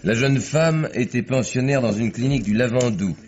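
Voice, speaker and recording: A man playing a self-assured local police commissioner, measured and emphatic, in an old-style French radio mystery. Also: mid-range voice, darkish tone, natural voice. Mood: portentous. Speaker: actor. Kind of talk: 1950s French radio drama acting. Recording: muffled, hissy old radio recording.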